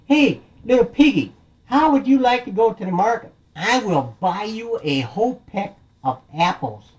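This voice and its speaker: A man's voice: sits high, expressive, normal speed, highs cut off, voice pushed up. A man voicing a big bad storybook wolf, scheming and easily frustrated, in a folksy, wheedling, grumbling way. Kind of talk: storybook character voice.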